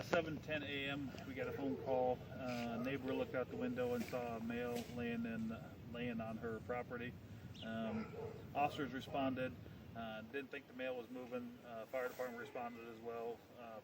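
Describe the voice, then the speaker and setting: An American man gives an informal police briefing in a mid-pitched voice. He phrases things procedurally and haltingly, pausing often to search for words. He is some way from the mic, outdoors, with heavy vehicle noise around him. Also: far from the mic. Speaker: police spokesman briefing a reporter. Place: outdoors near an investigation scene.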